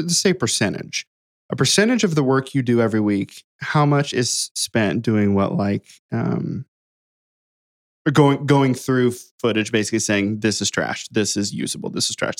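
A man's voice: medium pitch, moderate pace, no room sound, clean studio sound. A man hosting a casual workplace interview, chatty and encouraging, with lots of "you know" and "like". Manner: chatty, encouraging, many fillers. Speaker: interviewer, host.

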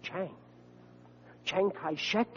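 High-pitched, blustering radio sitcom husband. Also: male voice, extremely slow, a bit bright, husky, heavily acted. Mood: mollified, gullible. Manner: blustering, swinging from outrage to easy mollification. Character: grouchy, gullible, blustering family man and father. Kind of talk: old-time radio sitcom acting. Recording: noisy, muffled vintage radio recording with hum.

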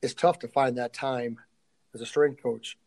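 A middle-aged man, a strength coach being interviewed, talking casually and haltingly, often thinking aloud and trailing off. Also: mid-range voice, fairly even intonation, a little mellow.

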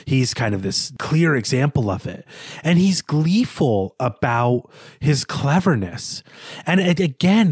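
A man co-hosting a podcast, discussing literature in a halting, emphatic way with repeated words. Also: mid-range voice, no room sound, clean studio sound. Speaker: podcast host.

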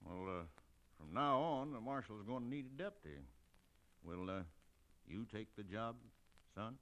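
A man's voice: lowish voice, animated, moderate pace, a bit bright, highs cut off. An actor playing a firm, plain-spoken, fatherly American town marshal in an old radio western. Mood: proud. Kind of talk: 1950s radio western acting.

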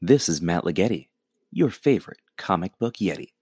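Deep-voiced man reading a jokey ad. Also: normal speed, slightly rough, dry recording, clean studio sound. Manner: playful deadpan asides. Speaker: podcast ad reader. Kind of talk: jokey scripted ad read.

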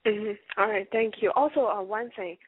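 A hoarse woman from China calling in to a radio show, hesitant and full of fillers and small giggles. Her voice comes down a tinny, dry phone line. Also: mid-range voice, normal speed, no echo. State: hoarse from bronchitis. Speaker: caller.

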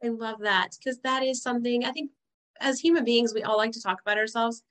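A woman host with a loud voice that projects, responding with affirming and reflective comments before asking her guest questions. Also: a little high, steady tone, a little fast. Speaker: interviewer, host. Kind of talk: conversational interview.

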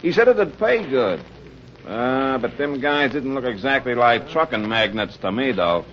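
An actor playing a gossipy working-class bartender with folksy, ungrammatical talk in a 1940s radio drama. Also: male voice, medium pitch, expressive, unhurried, natural voice. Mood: confiding. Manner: folksy, ungrammatical, hesitant asides. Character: gossipy, plain-spoken working-class male pool-room bartender. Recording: muffled, noisy old radio recording.

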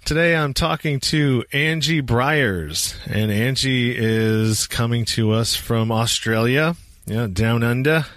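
A man's voice: mid-range voice, slow pace, a bit bright, no room sound, clean studio sound. A man hosting a podcast, talking in a loose, rambling, casual way. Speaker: podcast host.

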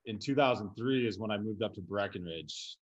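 A man's voice: on the low side, a little fast, highs cut off. A man in his thirties from Colorado, talking casually as a podcast guest, his voice a little scratchy. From Colorado, USA. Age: 30s. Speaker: guest, business co-owner. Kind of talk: casual chat.

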